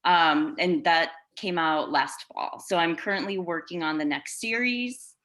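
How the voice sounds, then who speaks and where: A woman speaks on a video call in a fairly low voice. She is an actress and producer giving a conversational introduction of herself. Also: measured pace, fairly bright tone.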